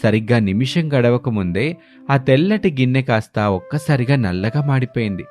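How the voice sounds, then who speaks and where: A man's voice: mid-range voice, expressive, moderate pace, darkish tone. A man narrating a scripted moral story as the storyteller.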